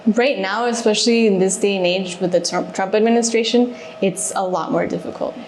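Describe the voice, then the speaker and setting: A woman's voice: medium pitch, fairly even intonation, a little mellow, slight background noise. Thoughtful woman in echoey room. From Mexico, raised in Alaska. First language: Spanish. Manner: thoughtful, pausing with fillers. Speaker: guest, reservations manager. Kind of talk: casual interview answers. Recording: echoey room, faint ambience.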